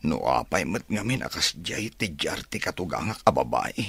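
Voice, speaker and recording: An actor with an Ilocano accent playing a blunt, grumbling, teasing husband and son in a radio drama. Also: male voice, extremely low pitch, sing-song, normal speed, gravelly, fairly bright tone, slightly rough, dry recording, exaggerated voice. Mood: weary, subdued.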